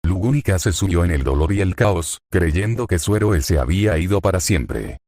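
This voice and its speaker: Male narrator, low voice, dry close sound, steady fanfic reading. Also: normal speed, no echo. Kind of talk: reading a translated fan story aloud.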